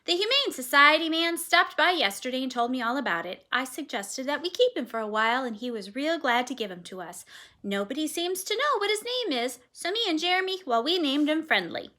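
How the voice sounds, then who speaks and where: A woman's voice: high voice, sing-song, a little fast, sharp tone, pitched above the performer's own voice, full character voice. A woman voicing a chatty, matter-of-fact child in a read-aloud storybook.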